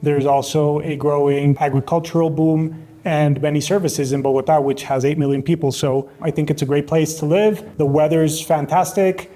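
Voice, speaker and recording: A man in his forties from Colombia, a corporate attorney, answering interview questions in a measured, businesslike way indoors in his apartment. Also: on the high side, mellow.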